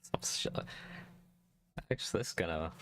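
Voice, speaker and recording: A young man streaming a casual solo chat, calm and low-energy, talking close to the mic and rambling with many restarts. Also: moderate pace, in a whisper. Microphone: close desk mic.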